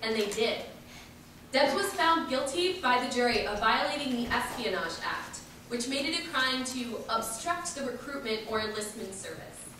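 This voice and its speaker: A woman's voice: on the high side, very animated, moderate pace, sharp tone. A woman reading scripted historical narration as she introduces a speech. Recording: echoey hall from far off, noisy.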